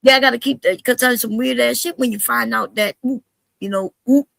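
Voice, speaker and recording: A woman's voice: on the high side, a little fast, creaky, fairly bright tone. A woman in a casual group chat, teasing and exasperated, often exclaiming to the Lord.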